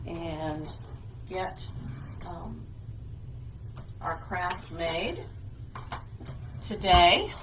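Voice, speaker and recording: Slow, patient woman librarian for children. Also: on the high side, a little hiss or hum, rough recording. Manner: slow, patient, teacherly with simple questions to kids. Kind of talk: children's story time craft lesson.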